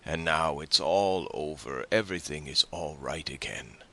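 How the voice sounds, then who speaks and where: A man voicing a tender, cautious old father in an audiobook, gentle and halting. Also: a bass voice, exaggerated voice. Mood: emotional, relieved. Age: older.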